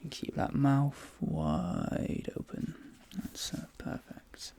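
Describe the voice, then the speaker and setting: A man softly whispering and murmuring in a soothing, reassuring ASMR dentist roleplay, with breathy delivery and hesitant pauses, close to the microphone. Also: mid-range voice, very animated. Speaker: ASMR performer role-playing a dentist. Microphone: close microphone, dry.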